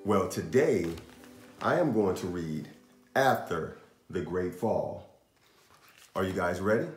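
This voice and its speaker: A man in his thirties reading a picture book aloud to young children, with deliberate, dramatic storyteller pauses. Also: deep voice, lively intonation. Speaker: children's storytime host and reader. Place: small indoor room in a house. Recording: roomy echo, faint music.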